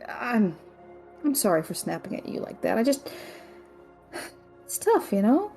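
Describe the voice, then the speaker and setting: A woman's voice: on the high side, big pitch swings, a little slow, warm tone, faint music behind. A young woman playing a stressed, sarcastic student who is a loyal friend, in a naturalistic audio drama. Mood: apologetic, anxious. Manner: sarcastic, exasperated, swearing.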